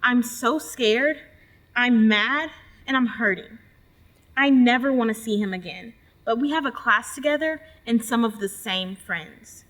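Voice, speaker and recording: A young woman acting a shaken college student in a readers theater monologue, halting and confiding as she speaks. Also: a little high, a bit bright. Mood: distressed, hurt. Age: young adult.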